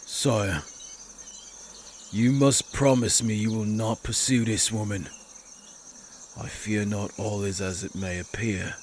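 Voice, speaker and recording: A man's voice: fairly low pitch, fairly even intonation, slow pace, pauses often, slight background noise. A man playing a loyal, anxious lord who advises his prince in formal, archaic courtly speech for an audio drama. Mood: troubled. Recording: music under the voice.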